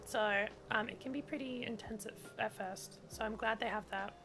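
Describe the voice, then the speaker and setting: A woman's voice: fairly high pitch, steady tone, normal speed, vocal fry, a bit bright, faint music behind. A woman whose first language is German, chatting casually in a gameplay stream and reading on-screen text aloud, with lots of "like". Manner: chatty, heavy use of "like", reads on-screen tutorial text aloud.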